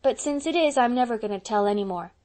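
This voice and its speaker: A woman's voice: on the high side, animated, quick pace, darkish tone, pitched above the performer's own voice, natural voice. A woman reading aloud and voicing a mischievous, curious six-year-old boy who pleads and sobs in a childish way. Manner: childish, plaintive, sobbing pleas.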